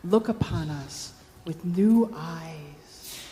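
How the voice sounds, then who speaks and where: A woman voicing a gentle rainforest child, solemn and measured, pleading in live storytelling. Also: low-pitched, pitched below the performer's own voice. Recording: echoey hall, faint background noise.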